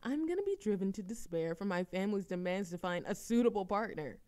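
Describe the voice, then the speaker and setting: A woman's voice: mid-range voice, brisk, natural voice. A woman playing a playful, dramatic young woman chatting teasingly with a best friend in an audio roleplay. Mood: exasperated, dramatic. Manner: animated complaining, teasing, theatrical exasperation. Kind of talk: intimate friend roleplay audio acting, conversational.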